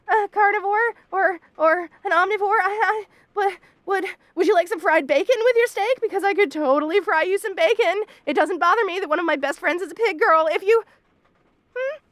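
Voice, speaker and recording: A woman's voice: very high-pitched, fairly bright tone, no room sound. A woman voicing a cute, bashful bunny girl roommate in a roleplay audio, stammering and evasive in a flustered way. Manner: stammering, nervous, flustered denial. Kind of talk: roleplay audio acting, addressed to the listener.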